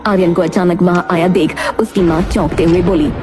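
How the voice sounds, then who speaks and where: A woman's voice: fairly low pitch, steady tone, a little fast. A woman reading a horror story as a dramatic scripted narrator, with music behind her. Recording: very loud music under the voice.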